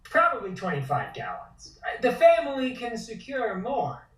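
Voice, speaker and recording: A man playing an eager, deferential young bootlegger with a halting manner in a comic table read. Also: very high voice, huge pitch swings, fairly bright tone, heavily acted. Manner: hesitant, halting. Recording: echoey room, distant from mic.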